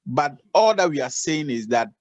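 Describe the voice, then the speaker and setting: A man's voice: a little high, animated, normal speed, a little mellow, dry recording, lo-fi sound. A man gives advice on a video call, often repeating and restarting his phrases. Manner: repetitive, restarts phrases, advisory. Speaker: nursing adviser on the call. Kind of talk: informal video call explanation.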